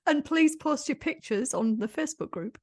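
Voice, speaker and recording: A woman's voice: high voice, animated, moderate pace. A woman co-hosting a podcast in casual chat, with a playful, jokey manner. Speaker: podcast co-host.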